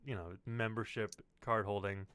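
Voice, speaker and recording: A man's voice: fairly low pitch, fairly even intonation, normal speed, a bit bright, smooth, no echo. A man co-hosting a casual panel chat, wry and joking in the way he puts things. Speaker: podcast co-host.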